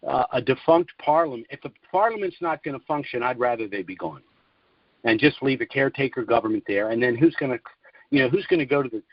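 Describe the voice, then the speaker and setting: A man speaks over a conference phone line with a dull, close and dry sound. His voice sits at an ordinary pitch. He hosts and comments in a conversational but emphatic style, arguing his points firmly. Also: no echo. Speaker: conference call host and commentator. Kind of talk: opinionated call-in commentary. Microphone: telephone conference line.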